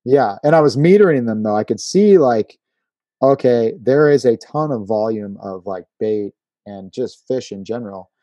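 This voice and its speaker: Male podcast host, lowish mellow voice, laid-back casual chat, very dry studio-like sound. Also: lively intonation, normal speed, no room sound, dull audio.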